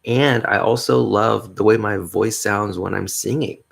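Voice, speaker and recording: A man hosting a solo podcast, talking in a mellow bass voice, upbeat and rambling, with frequent chuckles. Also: steady tone, a little slow, gravelly, slightly rough. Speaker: podcast host. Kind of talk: casual solo podcast monologue.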